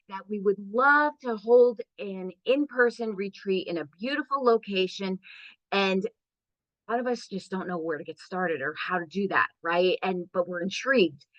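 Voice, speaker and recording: A woman's voice: medium pitch, a bit bright, no room sound, muffled recording. A woman hosting an interview, effusive and enthusiastic as she introduces her guest. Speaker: host, business coach.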